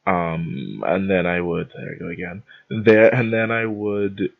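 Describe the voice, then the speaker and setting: A man's voice: fairly low pitch, lively intonation, unhurried, creaky, narrow, tinny audio. A young man from the Bahamas hosting a podcast, telling a rambling, self-interrupting story in a casual rant. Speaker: podcast host.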